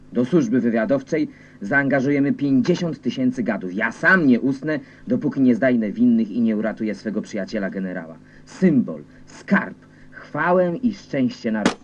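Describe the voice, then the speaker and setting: An actor playing a zealous, menacing defence minister making emphatic official proclamations. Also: male voice, medium pitch, expressive, moderate pace, muffled recording, lightly acted.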